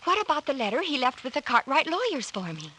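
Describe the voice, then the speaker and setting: An actress playing an anxious young heiress in a 1940s radio drama, earnest and questioning. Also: female voice, sits high, very animated, quick pace, sharp tone, exaggerated voice. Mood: troubled, astonished. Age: young adult. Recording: hissy old radio recording.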